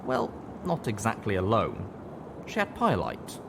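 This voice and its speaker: Guy reading an audiobook style story, real deep voice, bit of a noisy background. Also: wildly swooping pitch, moderate pace, creaky. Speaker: audiobook reader. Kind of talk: scripted first-person story narration.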